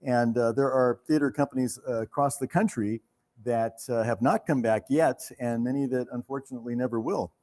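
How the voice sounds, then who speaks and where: A man giving a live thank-you speech as a company leader, earnest and measured, with pauses. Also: mid-range voice, darkish tone. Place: theater, live before an audience.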